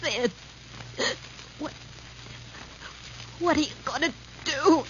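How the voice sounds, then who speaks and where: An actress playing a brassy, wheedling young nightclub gold-digger with slangy, emotional delivery in a 1940s radio crime drama. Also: female voice, sits high, slow, background noise. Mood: frightened, pleading. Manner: slangy, emotional, stammers when cornered. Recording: muffled old radio broadcast.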